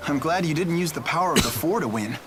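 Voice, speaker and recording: Man voicing an earnest, lively teenage boy. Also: a little high, fast talker, music in the background, natural voice.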